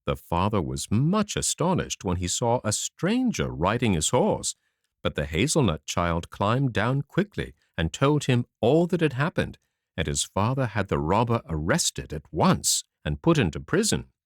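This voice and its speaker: A man narrating a children's fairy tale audiobook in an expressive, animated storytelling manner. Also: on the low side, a little slow, no room sound, clean studio sound.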